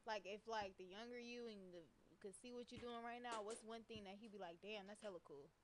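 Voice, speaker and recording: A woman's voice: mid-range voice, a little fast. An American woman chatting casually and upbeat in slang as a guest artist at a live studio session. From Stockton, California, United States. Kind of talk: casual chat. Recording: clearly noisy studio room.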